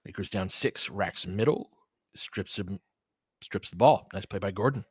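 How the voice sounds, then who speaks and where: A man with a fairly low voice commentates on basketball in a close, dry recording. He talks conversationally and analytically, thinking aloud and slipping between play-by-play and chatty asides to listeners. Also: unhurried, a little mellow, breathy edge, no echo. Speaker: sports streamer doing audio play-by-play and analysis. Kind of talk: live basketball commentary mixed with casual analysis and chat replies.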